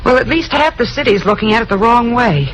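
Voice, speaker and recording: A woman plays an earnest young-sounding secretary in a vintage radio play, upset and standing her ground. Her high voice moves in lively swings, pleading and indignant, over clearly noisy old audio. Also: fairly quick, lightly acted. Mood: anxious, pleading. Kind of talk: old-time radio drama acting.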